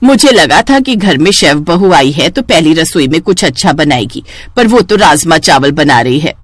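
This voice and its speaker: A woman's voice: sits low, animated, normal speed. A woman voicing a nagging, sarcastic Indian mother-in-law in a cartoon story. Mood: disapproving. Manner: scolding, sarcastic. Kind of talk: Hindi cartoon moral story.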